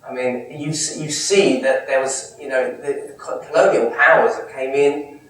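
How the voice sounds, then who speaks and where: A man in his thirties from Kent with a southern English accent, answering audience questions after a talk in a lively, conversational way. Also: medium pitch, normal speed. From England, Kent. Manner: animated, gestures a lot, restarts phrases. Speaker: Islamic speaker answering questions. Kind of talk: lecture Q&A, conversational. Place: lecture room, indoors. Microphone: far camera mic. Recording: echoey room from far off.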